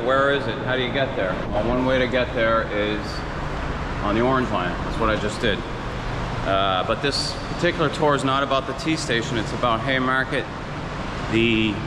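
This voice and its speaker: A man's voice: mid-range voice, moderate pace. A middle-aged man with a Boston accent, casually narrating a walking tour of a market, pointing things out as he goes, on a handheld action camera. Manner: chatty, pointing things out as he walks. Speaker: vlogger giving a walking tour. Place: outdoor city market and streets. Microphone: action camera held at arm's length. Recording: loud outdoor street noise. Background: loud street and traffic noise.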